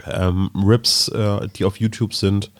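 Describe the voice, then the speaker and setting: A man's voice: on the low side, little pitch movement, slow pace, dry recording. A man hosting a podcast in a casual, chatty style. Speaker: podcast host. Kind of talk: casual chat, addressing listeners.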